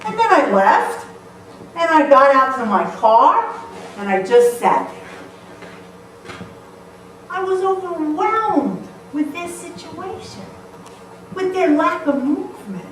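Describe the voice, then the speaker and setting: Animated woman speaking in an echoey hall. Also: high voice, slow pace, pauses often. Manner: lively, playful, often laughing. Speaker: motivational speaker. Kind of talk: humorous storytelling talk to a live audience. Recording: echoey hall, mic far off, faint noise.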